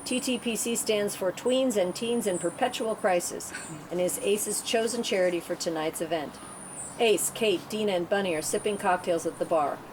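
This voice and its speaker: A woman's voice: mid-range voice, normal speed. A woman narrator reading screenplay stage directions as scripted narration at a table read. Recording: clear background noise.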